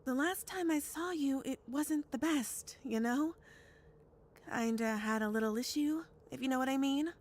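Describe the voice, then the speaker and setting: A woman acting a breezy, teasing, loyal fighter in a sci-fi audio drama, speaking in a casual, slangy, expressive way. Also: sits high, moderate pace, lightly acted. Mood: hesitant, awkward. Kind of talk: naturalistic audio drama dialogue.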